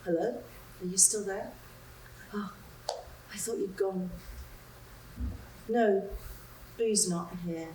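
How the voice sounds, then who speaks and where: A woman's voice: medium pitch, takes it slowly, pauses often, mellow, slight background noise, natural voice. A woman performing a chirpy, nervous young woman on a phone call, chattering breathlessly and apologizing. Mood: fragile. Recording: roomy sound, mic far off.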